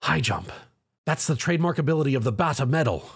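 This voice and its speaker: A man's voice: medium pitch, big pitch swings, quick pace, husky, heavily acted. A man voicing an earnest armored hero, urgent and quippy, in a story. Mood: focused.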